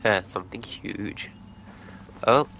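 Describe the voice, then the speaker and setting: A man's voice: on the low side, slow, vocal fry, noisy background. A man livestreaming a video game, giving commentary and reading game dialogue aloud over game music.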